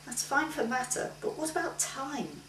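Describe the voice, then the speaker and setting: Woman acting stubborn teasing man, storybook painter, animated, echoey room, distant mic. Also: sits high, normal speed, voice pushed up. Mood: insistent. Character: male time-travelling painter, impatient, teasing, stubborn. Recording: roomy, distant mic, faint hiss.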